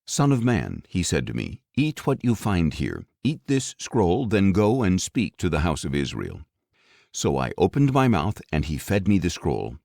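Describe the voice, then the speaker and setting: A man narrating scripture for an audiobook in a solemn, measured, dramatic way. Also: low voice, huge pitch swings, vocal fry, a little mellow, dry recording, studio quality.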